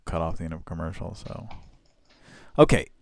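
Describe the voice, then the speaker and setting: A man hosting a live show in casual chat, with a gravelly voice and a rambling, laugh-prone way of talking. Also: fairly quick, no room sound. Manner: rambling, laughs often, animated swings in pitch.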